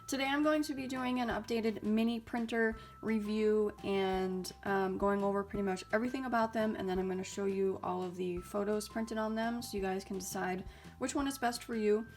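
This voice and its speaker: A young woman with a mid-range voice chats through a casual product review, friendly and full of little ums and likes. A lingering cold leaves her slightly raspy and stuffy, and faint music plays quietly under her talk. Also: mid-range voice, steady tone, normal speed. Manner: chatty and upbeat, with frequent ums and likes. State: slightly raspy and stuffy, getting over illness. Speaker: YouTube host reviewing mini printers.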